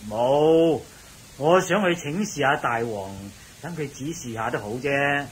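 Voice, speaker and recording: An actor playing an elderly, genial palace cook in a radio drama, chatty and deferential with hesitant interjections. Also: male voice, mid-range voice, unhurried, close to an everyday voice. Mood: earnest, hesitant. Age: older. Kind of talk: Cantonese radio drama acting. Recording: faintly noisy radio drama recording.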